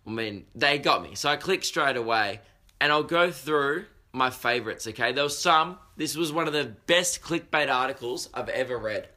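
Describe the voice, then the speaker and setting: A young Australian man hosting a podcast, talking in a croaky voice with a sweary, jokey, rambling manner. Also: mid-range voice, moderate pace, pushed and bright. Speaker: podcast host. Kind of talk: casual comedic monologue.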